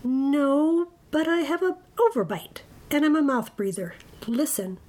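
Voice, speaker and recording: A woman's voice: high-pitched, measured pace, a little mellow, voice pushed up. A woman voicing a mild, bookish male monster from a children's storybook, meek and easily huffy, not scary at all. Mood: mild, earnest.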